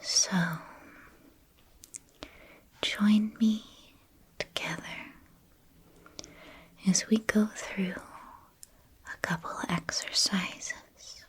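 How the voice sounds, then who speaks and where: A woman whispering a guided ASMR meditation, speaking gently and soothingly in measured phrases with long pauses. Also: mid-range voice, little pitch movement.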